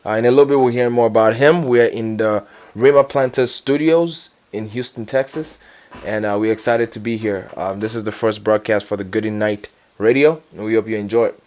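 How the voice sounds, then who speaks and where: A man's voice: fairly low pitch, fairly quick, darkish tone. A man hosting a radio interview in a studio, asking long, rambling, eager questions. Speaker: host. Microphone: studio mic.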